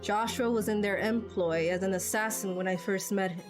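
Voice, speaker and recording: A woman voicing a grave, measured father and veteran fighter in game cutscenes, explaining things seriously. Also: medium pitch, fairly even intonation, lightly acted. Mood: solemn. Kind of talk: amateur game cutscene voice acting. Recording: music behind the voice.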